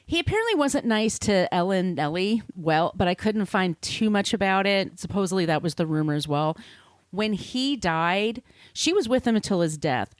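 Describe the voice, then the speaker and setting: A woman hosts a podcast in a casual, storytelling way, swearing freely and dropping in asides. Her voice sits slightly low but swings widely in pitch. The sound is close and dry. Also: dry recording. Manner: informal, swearing, conversational asides. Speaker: podcast host.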